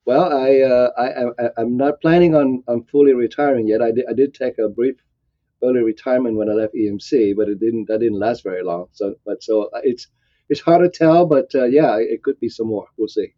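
A man's voice: medium pitch, normal speed, no room sound, muffled recording. A man in his sixties who grew up in Vietnam, answering interview questions in a reflective way, with many fillers and restarts. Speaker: guest.

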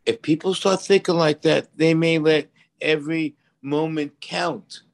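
Gravelly older man in his seventies. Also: on the high side, fairly even intonation, a little slow. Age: 70s. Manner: rambling, folksy, repeats "you know". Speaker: expert co-host. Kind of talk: casual conversational advice.

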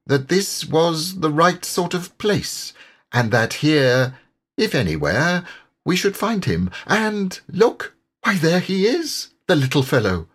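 Man voicing a dreamy, slow-spoken storybook water rat. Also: on the high side, big pitch swings, fairly bright tone, slightly rough, pitched above the performer's own voice, exaggerated voice. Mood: puzzled, delighted. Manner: slow, thoughtful delivery.

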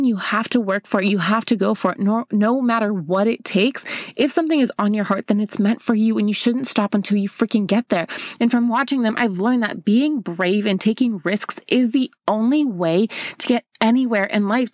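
A woman's voice: medium pitch, no echo, studio quality. A woman in her twenties, raised in America after a childhood in Bulgaria, hosting her own podcast in an upbeat, chatty way and calling the listener babe. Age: 20s. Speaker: podcast host. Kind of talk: casual solo podcast talk.

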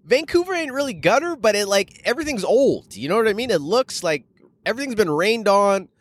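Animated man telling stories, swinging high pitch. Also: no echo. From Canada, later Arizona. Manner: slangy, animated, profane, addressing listeners as guys. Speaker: podcast host and stand-up comedian. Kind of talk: casual solo storytelling podcast.

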